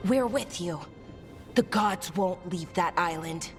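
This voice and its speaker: An actress playing an earnest young elven lore scholar in a video game cutscene, explaining things carefully. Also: female voice, lowish voice, slow, faint music behind.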